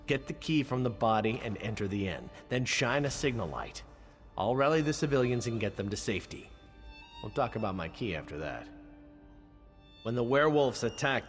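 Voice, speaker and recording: An actor playing a cocky, roguish young guard captain in a fantasy video game, with a wry, swaggering delivery. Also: male voice, medium pitch, in a large hall, natural voice. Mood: grim.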